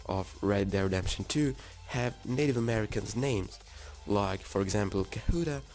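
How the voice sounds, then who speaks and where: A man with a raspy, hoarse voice from being sick, narrating an informal game preview for viewers with enthusiasm. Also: on the low side, darkish tone. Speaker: gaming YouTuber.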